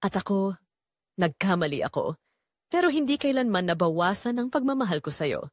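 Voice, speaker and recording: A woman's voice: mid-range voice, very animated, moderate pace, dry recording, clean studio sound. A woman voicing a guilt-ridden mother in an audio drama, pleading emotionally. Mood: remorseful.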